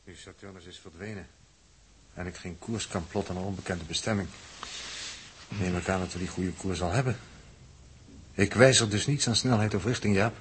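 A man playing a sober, earnest spaceship navigator in a 1960s science-fiction radio play, measured and matter-of-fact. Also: deep voice, a little hiss or hum, close to an everyday voice. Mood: sober concern. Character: sober, observant human spaceship navigator, earnest and level-headed.

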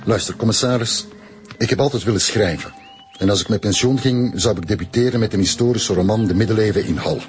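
A low-pitched Flemish man in his sixties, acted by a man, earnest and stubborn. He justifies himself in measured radio-drama dialogue. Music plays faintly behind him. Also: close to an everyday voice. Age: 60s. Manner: measured, explanatory, self-justifying. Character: stubborn, earnest retiree and aspiring historical writer.

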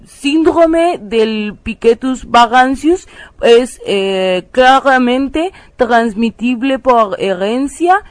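A woman's voice: a little high, animated, drawn-out words, no echo. A woman playing a French biologist in a radio satire, lecturing formally and hesitantly with a French accent. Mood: solemn lecturing. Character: female French biologist expert, solemn, pseudo-scientific, hesitant. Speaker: interviewed expert guest. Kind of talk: satirical radio theatre interview.

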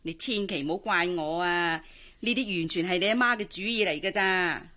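An actress with a Hong Kong Cantonese accent playing a pushy, traditional middle-aged auntie in a radio drama, coaxing and exclaiming. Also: female voice, fairly low pitch, normal speed, bright, forceful tone. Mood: anxious coaxing. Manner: coaxing, exclaiming, sighing interjections. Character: pushy, traditional older auntie urging a girl to marry.

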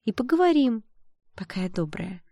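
A woman's voice: fairly high pitch, sing-song, moderate pace, warm tone, dry recording, voice pushed up. A woman voicing a spiteful young female student bully in an audiobook, commanding and sneering, with a fake-sweet edge. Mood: threatening.

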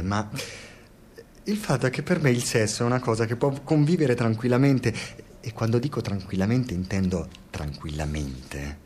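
A man's voice: lowish voice, a little mellow, slight background noise, lightly acted. An actor playing a talkative, self-absorbed older man in a radio drama, telling his stories in a rambling, theatrical, hesitant way.

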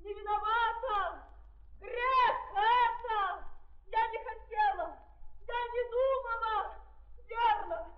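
Casting: young woman convict, very high drawn-out tearful wail, desperate, echoing vintage drama recording. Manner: wailing, drawn-out desperate cries. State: crying. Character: young condemned peasant-born woman, wailing innocence in despair. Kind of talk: 1930s Russian radio drama acting. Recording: dull, echoing old 1930s stage recording.

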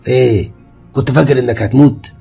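Brief: male actor as a rough-voiced Egyptian seer, taunting and sinister, medium pitch. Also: quick pace, warm tone, faint music behind. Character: menacing male fortune teller, cold and mocking.

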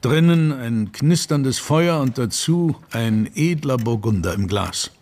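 An actor playing a genial, worldly older London lawyer with an urbane, measured, wry manner in a radio play. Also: male voice, medium pitch, expressive, darkish tone. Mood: convivial, wry. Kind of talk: German radio play dialogue.

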